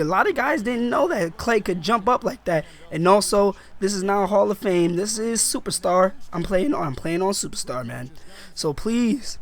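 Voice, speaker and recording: Sick young man, high hyped game commentary. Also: a bit bright. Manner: hyped, chatty, clears throat often. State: sick, slightly rough voice. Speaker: gaming YouTuber. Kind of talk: casual gameplay commentary.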